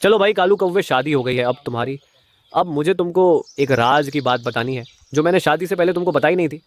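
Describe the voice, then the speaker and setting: A man's voice: on the high side, fairly quick, natural voice. A man voicing a menacing crow thug, a boastful and protective brother in a moral-story cartoon. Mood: boastful, threatening. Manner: boastful, threatening.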